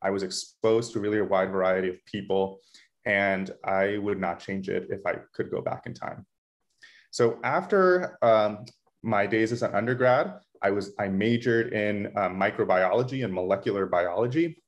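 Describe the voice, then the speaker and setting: A man's voice: lowish voice, normal speed, highs cut off. A young man from Florida with an American accent, presenting a webinar in a conversational, earnest and explanatory way as a medical tutor. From Florida, United States. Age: young adult.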